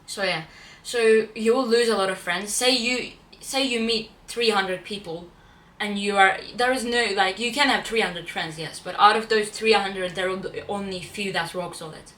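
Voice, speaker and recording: A woman's voice: medium pitch, normal speed, fairly bright tone, far from the mic. A young woman from Turkey whose first language is Turkish, talking casually to camera, chatty and often restarting her phrases. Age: young adult. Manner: chatty, repeats and restarts phrases, self-correcting. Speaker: vlogger. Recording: echoey room, voice a bit off-mic.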